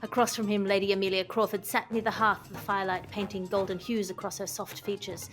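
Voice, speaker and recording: A woman's voice: mid-range voice, level tone, brisk, over music, close to an everyday voice. A woman performing an earnest, florid narrator of a mock period-romance audiobook, dramatic in delivery.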